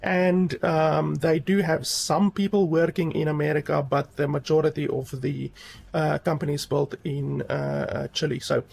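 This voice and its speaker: Gravelly, husky man reviewing a game. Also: fairly high pitch, level tone, moderate pace, a little mellow. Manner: rambling, emphatic repetition, many fillers. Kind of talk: casual review commentary.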